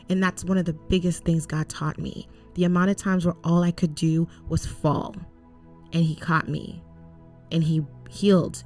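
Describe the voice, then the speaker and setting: A woman's voice: lowish voice, darkish tone. A young woman co-hosting a faith podcast, from Cameroon and raised in Canada, speaking earnestly and emphatically in a casual, preachy way. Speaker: co-host.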